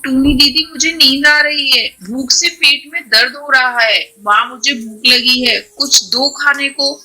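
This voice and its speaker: Woman as whining, bright-voiced little girl bird. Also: high voice, a little slow, heavily acted, poor audio. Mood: whiny, hungry. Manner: whining, pleading. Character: small hungry girl bird, whining younger daughter. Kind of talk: Hindi children's cartoon voice acting.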